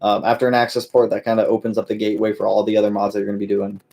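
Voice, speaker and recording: A man's voice: fairly low pitch, monotone, rapid-fire, vocal fry, a little mellow. A man hosting a casual live-stream Q&A for his car channel, answering viewers in a laid-back way with lots of fillers and friendly call-outs.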